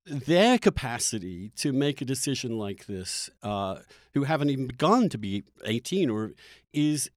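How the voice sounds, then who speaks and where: A man hosting a conversational interview, speaking earnestly and haltingly as he circles back to rephrase his questions. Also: mid-range voice, very animated, no room sound, clean studio sound. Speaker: podcast host and interviewer.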